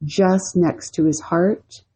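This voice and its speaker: A woman's voice: on the low side, fairly even intonation, slow pace, mellow, breathy edge. A woman co-hosting a podcast, telling a story casually, rambling with lots of "you know" and "like". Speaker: podcast co-host.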